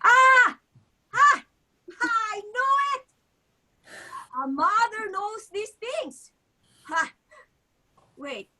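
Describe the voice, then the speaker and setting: A woman's voice: extremely high pitch, sharp tone, exaggerated voice. An actress playing a nagging, guilt-tripping older Filipino mother with an accent, dramatic and scolding, in a staged comedy reading. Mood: triumphant. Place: virtual live event, recorded remotely.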